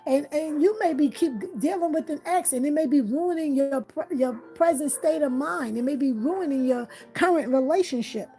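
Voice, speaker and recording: A woman's voice: high-pitched, normal speed, hardly any pauses, warm tone. A woman hosting a live tarot reading. She talks earnestly and encouragingly, repeats her phrases and often checks in with "okay?" and "you understand?".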